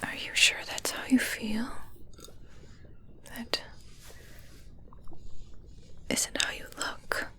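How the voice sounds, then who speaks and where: A woman voicing a coolly menacing, condescending witch shopkeeper in an ASMR roleplay, in a soft breathy whisper with deliberate, halting phrases. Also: full character voice. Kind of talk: ASMR roleplay, scripted monologue.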